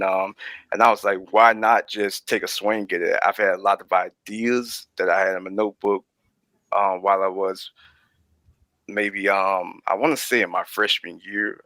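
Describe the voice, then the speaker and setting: A man's voice: deep voice, sharp tone, no room sound. A man speaking as an interview guest, explaining his creative work in a casual, rambling, hesitant way.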